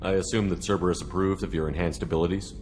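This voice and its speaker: A man acting as a steady space commander in a game, with a deep male voice. He talks plainly and firmly, putting direct questions, while music plays clearly behind him. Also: fast, close to an everyday voice. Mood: probing, reassuring. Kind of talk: video game dialogue acting. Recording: background music under the voice.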